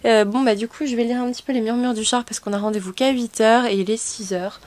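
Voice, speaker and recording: A woman's voice: on the high side, fairly even intonation, fast talker, darkish tone. A woman chatting casually to camera as a book vlogger, in a rambling, self-correcting way.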